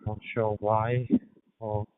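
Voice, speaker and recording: Uk guy on ham radio, even tone, matter of fact, thin phone-like audio. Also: fairly low pitch, slow. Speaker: amateur radio operator. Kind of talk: radio check-in and chat. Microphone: digital ham radio transmission.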